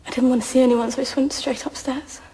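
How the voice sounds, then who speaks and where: An actress playing a frightened teenage girl on the witness stand in a courtroom audio drama, speaking haltingly. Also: high voice, steady tone, dark tone, a little hiss or hum, close to an everyday voice. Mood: uneasy, subdued. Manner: halting, faltering. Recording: echoey hall reverb.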